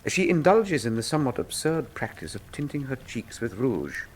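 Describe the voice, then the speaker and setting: An actor playing a brilliant, cool Victorian detective, speaking formally and with dry wit in a radio drama. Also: male voice, medium pitch, very animated, moderate pace, a little hiss or hum, natural voice. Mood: dry, analytical. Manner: precise, formal, dryly witty. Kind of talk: full-cast radio drama acting.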